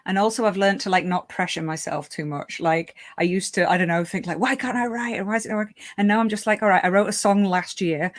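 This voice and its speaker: A woman's voice: mid-range voice, fairly even intonation, quick pace, few pauses. Chatty British woman hosting a livestream. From Britain. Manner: chatty, laughs often, many fillers. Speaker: livestream show host. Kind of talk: casual chat.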